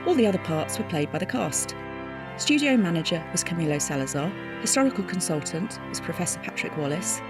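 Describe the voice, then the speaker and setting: A woman's voice: lowish voice, expressive, a little fast. A woman announcer reading scripted closing credits for an audio drama. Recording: music playing behind the voice.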